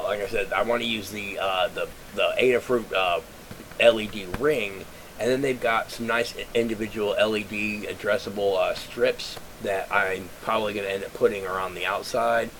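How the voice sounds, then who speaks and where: A man talks into a webcam at home, his voice a little low and raspy, as if congested. He rambles casually, restarting sentences and filling gaps with "uh" while he thinks aloud. A faint hum of room ambience sits behind him. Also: steady tone, moderate pace, fairly bright tone, poor audio. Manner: rambling, lots of fillers and restarts. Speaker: vlogger.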